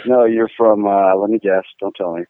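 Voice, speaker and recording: A man from Pittsburgh, a guitar-playing guest, telling rambling, animated stories with lots of fillers. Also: fairly low pitch, normal speed, dry recording. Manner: rambling, animated, fillers, laughs at own jokes. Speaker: guest musician, guitar player. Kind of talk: casual storytelling interview. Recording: tinny phone-line sound, dry.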